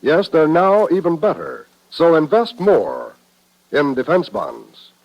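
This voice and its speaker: A man's voice: on the high side, huge pitch swings, takes it slowly. A man with an American accent reading a scripted ad as a 1950s radio announcer. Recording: dull vintage radio broadcast.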